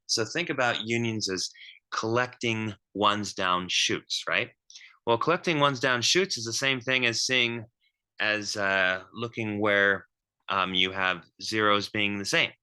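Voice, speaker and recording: Man, low pitch, sharp bright tone, math lecture, thinking aloud, fillers and self-corrections. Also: slow, clean tone. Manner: thinking aloud with many ums and restarts.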